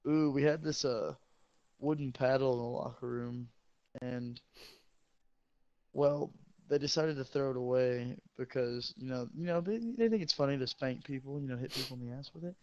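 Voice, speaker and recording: A teenage man, a high school football player, casually telling crude, joking, rambling stories to a friend. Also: normal speed, dry recording, lo-fi sound.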